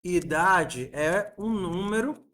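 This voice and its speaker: A man's voice: high voice, lively intonation, slow, a bit bright. A man, teaching a programming lesson and patiently asking his students rhetorical questions. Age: 40s. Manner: patient, asks the class rhetorical questions, uses diminutives. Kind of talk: coding lesson screencast.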